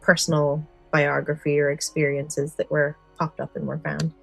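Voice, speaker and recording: Low-voiced woman, enthusiastic podcast host. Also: completely flat delivery, moderate pace, vocal fry, soft background music, rough recording. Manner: enthusiastic, explanatory. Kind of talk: podcast discussion, part prepared book summary.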